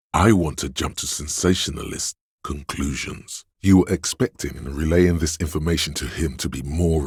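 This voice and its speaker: A man's voice: dry recording, clean studio sound, full character voice. A man voicing a video game character, a sleazy, hedonistic inner voice that craves drugs and thrills, insinuating and savoring its words theatrically. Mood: gleeful, sensationalist, then tempting, craving. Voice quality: very deep, gravelly, husky.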